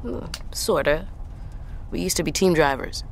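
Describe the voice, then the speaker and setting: A woman's voice: low voice, unhurried. An actress playing a tough, wry, guarded woman truck driver, speaking bluntly and naturally in an audio drama. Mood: guarded, casual. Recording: vehicle noise in truck cab.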